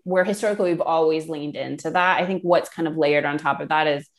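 Female podcast guest, low voice, conversational and explanatory, informal phrasing with casual fillers. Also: fairly even intonation, fast talker. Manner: explanatory, peppered with "you know" and "kind of". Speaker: guest, product marketing manager. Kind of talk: conversational podcast interview answers.

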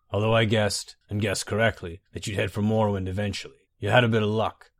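A man voicing a wry, menacing dark elf general who speaks softly and in a measured way. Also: low-pitched, no echo.

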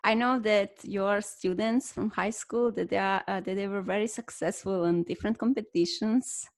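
A woman's voice: medium pitch, fairly even intonation, normal speed. A woman speaking as a podcast host, introducing and interviewing in a prepared way. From Serbia. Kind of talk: scripted introduction and interview questions.

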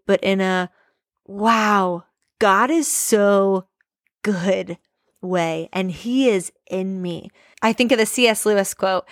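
A young woman in her late twenties talks through a faith podcast, earnest and prayerful, reflecting aloud. Her voice sits at an ordinary middle pitch, recorded close and dry with no room sound. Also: dry recording. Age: 20s. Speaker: podcast host. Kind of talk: devotional podcast monologue.

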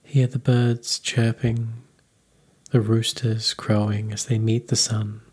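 A man leading a guided meditation in a calm, unhurried, soothing manner. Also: lowish voice, breathy edge.

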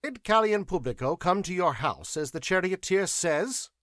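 A man's voice: high voice, expressive, normal speed, fairly bright tone, no echo, clean studio sound, voice pushed up. A man voicing a stern, impatient inquisitor in an audiobook, questioning in a curt, commanding way. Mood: probing. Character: stern male inquisitor, impatient, weary of interrogations.

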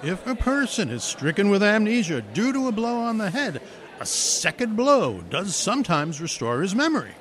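A man playing a timid, sincere, bookish high school teacher with a formal manner in a radio comedy. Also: sing-song, normal speed, fairly bright tone. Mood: earnest. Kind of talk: old-time radio comedy acting.

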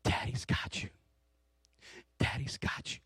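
A man voicing a tender, fatherly male God who softly pleads with his son to come home. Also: slow pace, dry recording, clean studio sound. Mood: tender, pleading. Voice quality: soft and whispery. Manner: pleading, gentle. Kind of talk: acted quote within a sermon.

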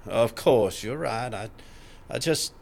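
A man's voice: mid-range voice, expressive, takes it slowly, vocal fry, a little hiss or hum. An actor playing a polite, curious male colleague in a fiction podcast, speaking carefully and hesitantly. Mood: tentative, curious. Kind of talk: scripted fiction podcast acting.

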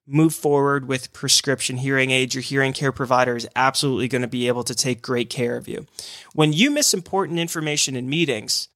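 A man's voice: mid-range voice, a bit bright, dry recording, clean studio sound. A man who works in hearing care, explaining signs of hearing loss in an earnest, encouraging podcast talk.

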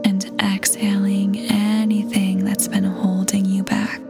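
Very slow, whispery woman guiding meditation. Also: medium pitch, monotone. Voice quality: soft, breathy, near-whisper. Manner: soothing, unhurried, gentle pauses between phrases. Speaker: guided meditation narrator. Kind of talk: scripted guided meditation, ASMR-like. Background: soft new-age music.

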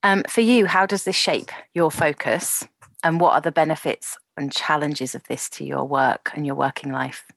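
A woman's voice: deep voice, fairly even intonation, normal speed, gravelly, dry recording. A woman interviewing a guest, encouraging and asking open questions. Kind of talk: podcast interview. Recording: dry close recording.

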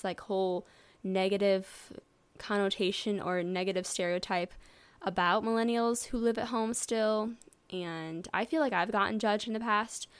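A woman's voice: medium pitch, smooth. A woman in her twenties talking casually as a solo podcast host, chatty and rambling, with many likes and you knows. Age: 20s.

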